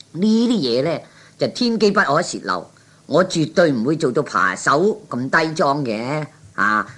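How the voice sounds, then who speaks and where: A man's voice: mid-range voice, bright, forceful tone, full character voice. A man voicing a sly, proud but broke gambler with a Cantonese accent in a 1960s comic radio play, drawling and dismissive. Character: sly, proud, broke male gambler playing big shot.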